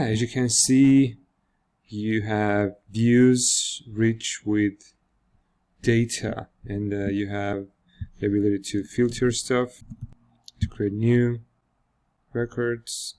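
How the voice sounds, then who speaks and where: A man with a Georgian accent, a native Georgian speaker, walking viewers through a web app in a screen-recorded demo, explaining with pauses and fillers. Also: fairly low pitch, steady tone, a little mellow. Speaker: software developer demoing a product.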